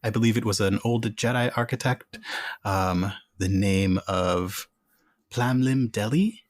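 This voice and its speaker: A man voicing a composed female art conservator in a tabletop game, speaking in a measured, polite, formal way. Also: fairly low pitch, no room sound, studio quality, close to an everyday voice. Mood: careful bluff.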